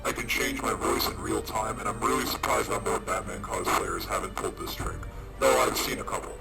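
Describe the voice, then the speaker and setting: Low-voiced man demonstrating a voice changer. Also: fairly even intonation, brisk. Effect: live pitch-shifting voice changer. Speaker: maker and video host. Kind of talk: casual DIY demonstration. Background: music.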